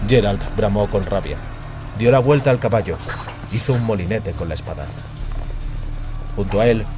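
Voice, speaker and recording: A man giving a dramatic scripted narration of a fantasy audiobook. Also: lowish voice.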